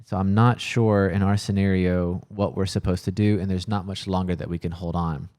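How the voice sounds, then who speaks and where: A man's voice: sits low, fairly even intonation, normal speed, a little mellow. A man talking casually and earnestly to camera in a worried, frustrated manner, sometimes searching for words. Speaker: coffee shop owner filming a personal vlog.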